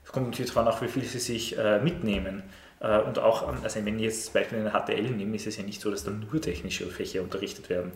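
A middle-aged man, a teacher explaining his views in an interview, measured and hesitant with many fillers. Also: lowish voice, fairly even intonation. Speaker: teacher of Latin and Ancient Greek. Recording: roomy echo with faint noise.

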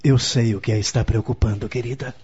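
An actor playing a firm, earnest lawyer and protective husband in a radio soap opera. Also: male voice, mid-range voice, steady tone, fairly quick, warm tone, slightly rough. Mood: reassuring. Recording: slightly muffled studio recording.

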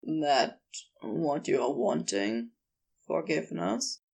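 A woman voicing a laconic, gruff but tender male supernatural being, soft-spoken and clipped in phrasing. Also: fairly low pitch, lively intonation, slow pace, voice pushed down, exaggerated voice, poor audio. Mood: tender, low.

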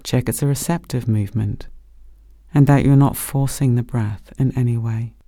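A man gently leading a guided body scan meditation as a meditation teacher, speaking slowly and soothingly. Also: mid-range voice, fairly even intonation, no room sound, clean studio sound. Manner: gentle, slow, soothing instruction.

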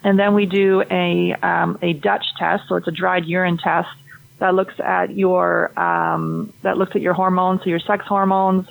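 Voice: medium pitch, little pitch movement, measured pace, creaky, low-quality recording. A fertility health practitioner explaining testing and diet in a conversational interview, often restarting and repeating words.

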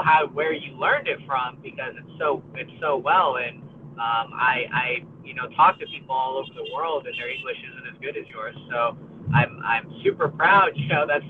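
A young American college student and podcast co-host chatting casually in a friendly, upbeat way, with many fillers and restarts. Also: male voice, mid-range voice, narrow, tinny audio, slight background noise. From United States. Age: college age. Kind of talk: casual conversation.

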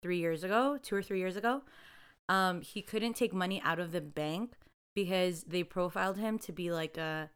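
A woman's voice: fairly low pitch, moderate pace, fairly bright tone, clean tone. A young woman in her twenties chatting casually as co-host, laughing often and filling her talk with "like". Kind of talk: casual chat.

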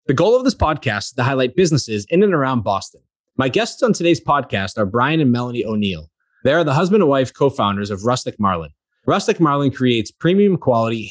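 A man's voice: mid-range voice, no room sound, clean studio sound. A man hosting a local business podcast, reading an introduction and then chatting loosely with his guests. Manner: friendly, reads intro then chats loosely. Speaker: podcast host. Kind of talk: casual interview chat.

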